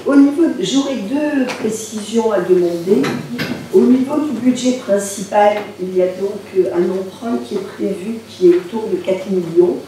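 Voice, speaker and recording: A woman council member with a bad cold, asking questions in a careful, apologetic, halting way. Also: mid-range voice, dark tone. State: has a bad cold, hard to speak loud. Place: large municipal function hall. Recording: echoey hall, mic far off, faint noise.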